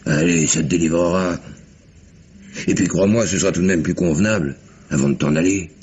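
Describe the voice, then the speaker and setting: An old sailor in a radio mystery drama. The voice is very low and rough-edged. He talks in a gruff, earthy, plain-spoken way. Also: a little fast, a little mellow. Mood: coaxing. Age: older. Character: gruff, coaxing old sailor listening to his mate.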